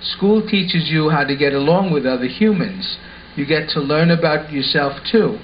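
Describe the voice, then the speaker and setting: A man's voice: on the high side, lively intonation, moderate pace, close to an everyday voice. A man playing an earnest, sensible schoolboy in a scripted puppet show, explaining things instructively. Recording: dull lo-fi sound with faint noise.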